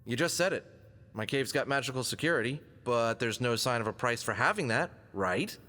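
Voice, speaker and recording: A man's voice: mid-range voice, animated, a little fast, sharp tone. A man playing a confident, wisecracking fox adventurer in an audio drama, quippy and self-assured in his delivery. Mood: explaining, casual. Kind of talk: scripted audio drama dialogue. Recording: long hall-like reverb.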